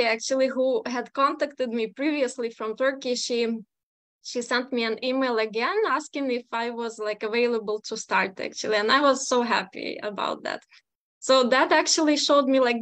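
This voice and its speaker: A woman's voice: a little high, fairly bright tone, no echo. A woman in her thirties from Ukraine with a Ukrainian accent, giving a chatty webinar talk as guest speaker, full of "like" and "um". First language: not English. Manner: chatty, many fillers, frequent "like".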